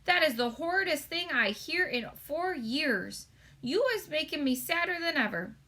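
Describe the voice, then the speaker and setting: Woman putting on a bright, kindly, tearful giant. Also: high voice, animated, moderate pace, voice pushed up. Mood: upset, sorrowful. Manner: tender, exclaiming, mangled folksy grammar. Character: huge kindly tearful male giant, childlike broken grammar.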